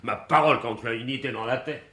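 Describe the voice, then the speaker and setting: A man's voice: medium pitch, fast talker, music in the background, close to an everyday voice. A man playing a sceptical, stubborn police inspector in a French radio mystery, dismissive and argumentative. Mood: exasperated.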